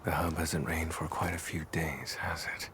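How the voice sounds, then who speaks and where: A man voicing a stoic, brooding vampire hunter in a video game, speaking in a terse, grave and deliberate way. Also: very deep voice, little pitch movement, pushed and bright, a little hiss or hum. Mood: watchful, analytical. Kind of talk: scripted game dialogue.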